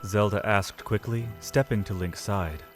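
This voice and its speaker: A man narrating a scripted fantasy audio drama, solemn and measured, with deliberate pauses. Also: lowish voice, faint music behind.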